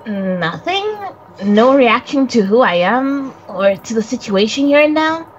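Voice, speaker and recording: A woman's voice: on the high side, big pitch swings, unhurried, dull audio, slight background noise. A woman voicing a nervous young boy spirit who stammers and gives himself away. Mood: awkward. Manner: stammering, flustered, self-incriminating. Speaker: guest voice actor on a game stream.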